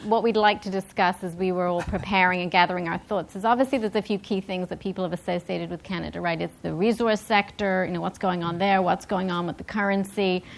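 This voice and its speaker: A woman's voice: fairly low pitch, steady tone, fairly quick, creaky, hardly any pauses. A woman moderating a business panel, upbeat and conversational. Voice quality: upbeat and conversational, tag questions. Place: conference hall.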